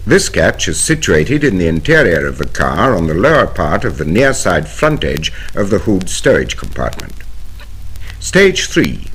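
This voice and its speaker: A British man reading a scripted instructional narration in a formal, precise, measured way, from a 1970 vinyl record soundtrack. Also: sits low, a bit bright, a little hiss or hum, rough recording. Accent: British English. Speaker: training film narrator.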